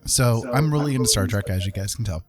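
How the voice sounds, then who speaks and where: A man's voice: fairly low pitch, quick pace, over music. A man hosting a livestream in casual chat, rambling and restarting his sentences as he goes. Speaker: livestream host.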